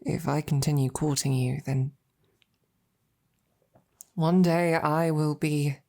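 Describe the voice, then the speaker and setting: A woman's voice: sits low, fairly even intonation. A woman playing a devoted, tender female knight in an intimate audio roleplay, speaking to her princess in a hesitant, earnest manner. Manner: hesitant, halting, softly earnest. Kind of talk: intimate scripted roleplay monologue to the listener.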